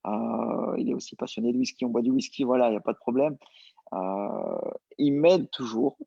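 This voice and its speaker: A young man answering interview questions casually, relaxed and hesitant with many fillers. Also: mid-range voice, normal speed, creaky, husky, dry recording. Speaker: entrepreneur guest. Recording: dull lo-fi sound.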